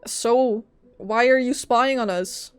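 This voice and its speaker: A woman's voice: a little high, takes it slowly, smooth. A woman streaming a game, reacting casually in a playful, candid, chatty way. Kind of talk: casual gameplay reaction and reading along.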